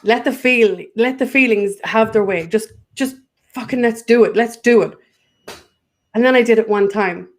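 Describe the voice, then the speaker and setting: A woman's voice: fairly high pitch, normal speed. A woman with an Irish accent, a guest in a spiritual conversation, speaking earnestly and often breaking off to restart her thought.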